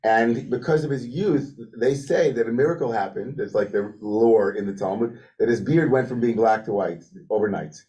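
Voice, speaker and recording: A man leading a lively study discussion as rabbi, thinking aloud with many restarts and "like" fillers. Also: mid-range voice, normal speed, few pauses, dull audio. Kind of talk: animated teaching discussion. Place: synagogue room with a Zoom link.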